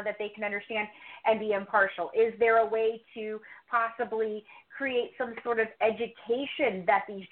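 A woman podcaster answering interview questions in a talkative, opinionated way, circling back to repeat her points. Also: a little high. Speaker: true crime podcast co-host, interview guest. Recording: thin, phone-like line.

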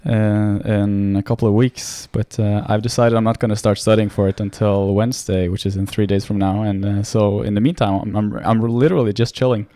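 A man's voice: lowish voice, brisk, few pauses, no room sound. A man in his twenties from Norway, a medical student talking as a podcast guest in an easy, chatty way with frequent small restarts and laughs. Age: 20s. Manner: relaxed, laughs often, many filler restarts. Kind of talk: casual conversation.

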